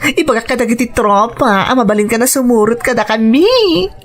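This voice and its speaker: A woman's voice: fairly high pitch, animated, brisk. A woman playing a flashy, teasing, pushy salon-owner friend who gushes in an audio drama. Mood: coaxing. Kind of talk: Ilocano audio drama acting.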